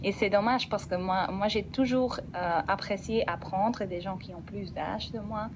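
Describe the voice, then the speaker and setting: A woman's voice: medium pitch, normal speed, background noise. A woman whose first language is Dutch, speaking French with a slight Belgian Dutch accent, explaining her program in a radio interview with enthusiasm and many restarts. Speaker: guest, program representative. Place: radio studio.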